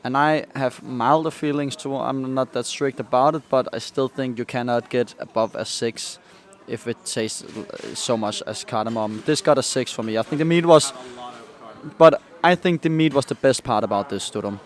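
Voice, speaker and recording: A young man co-hosts a casual food podcast and gives frank, critical reviews. His voice is middle-pitched and ordinary. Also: moderate pace. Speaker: podcast co-host. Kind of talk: casual chat.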